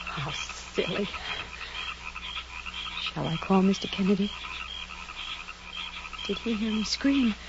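An actress playing a terrified young woman alone at night in 1940s radio drama style, breathless and melodramatic as she talks to herself. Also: mid-range voice. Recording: noisy, muffled old radio recording.

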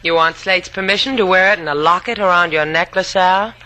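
An actress playing a sassy, flirtatious adventurer's sidekick with an American accent and a wry, teasing manner, in 1940s radio style. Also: female voice, fairly low pitch, a little fast, pushed and bright, low-quality recording. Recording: old radio recording with faint noise.

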